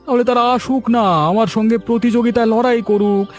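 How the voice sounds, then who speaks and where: A man's voice: very high-pitched, flat delivery, quick pace, a little mellow, soft background music. A man voicing a proud, stubborn village biryani cook in a cartoon, with boastful, scornful, emphatic delivery. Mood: challenging. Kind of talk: cartoon voice acting in a village comedy.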